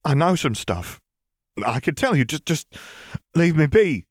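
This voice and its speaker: A man voicing a young witch in an audiobook, sharp-tongued and fearful, with urgent, emphatic delivery. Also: mid-range voice, animated, fairly quick, dry recording, studio quality, pitched above the performer's own voice. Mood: panicked, pleading.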